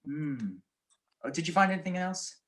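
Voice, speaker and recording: A woman's voice: lowish voice, moderate pace, natural voice. A young woman acting a suave, flippant male detective husband in a 1940s-style radio drama, with theatrical delivery. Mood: brisk, shrewd. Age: young adult.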